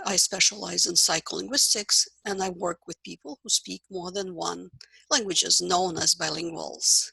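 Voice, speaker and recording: A middle-aged woman from Russia with a Russian accent, a psychology professor giving a measured, explanatory course introduction with occasional fillers. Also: mid-range voice, creaky, bright, forceful tone, husky, no room sound, poor audio.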